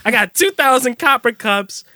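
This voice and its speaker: Animated, high-pitched man spinning a yarn. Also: normal speed, dry recording, studio quality. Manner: animated, giggly, slangy. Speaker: podcast host telling a story. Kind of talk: casual comedic storytelling among friends.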